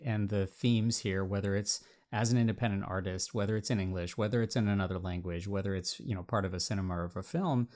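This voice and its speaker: A man's voice: lowish voice, a little mellow. A man hosting a podcast, asking long, winding questions with frequent restarts and repeated words. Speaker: podcast host. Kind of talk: interview conversation.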